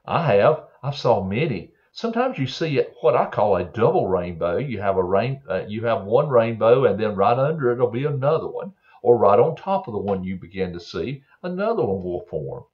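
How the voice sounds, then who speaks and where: An older man preaching a Bible story to children in a slow, earnest way, with many fillers and throat clearing, recorded on a webcam in a home office. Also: on the low side, big pitch swings. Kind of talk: gentle storytelling sermon for children. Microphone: webcam on a desk. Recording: dull lo-fi webcam audio.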